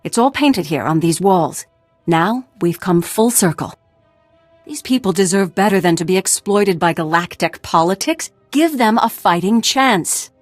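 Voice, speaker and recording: A woman's voice: fairly low pitch, expressive, unhurried, no room sound, soft background music. A woman acting a principled, defiant fighter in a video game, speaking firmly and earnestly. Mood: earnest, resolute. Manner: earnest, idealistic, firm.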